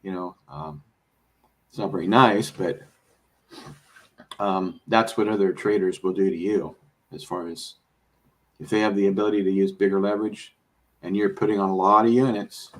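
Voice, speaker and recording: A man talking casually about trading, rambling and explaining with many fillers and restarts, a bit hoarse as he is losing his voice. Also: sits low, steady tone, normal speed, pauses often. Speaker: trader. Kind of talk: casual conversation.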